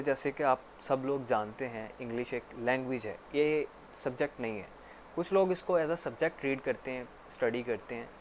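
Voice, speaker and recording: A man giving a casual spoken English lesson, repeating himself often and filling pauses with "actually". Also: mid-range voice, slight background noise. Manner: repetitive, filler-heavy explaining with frequent "actually". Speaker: English teacher.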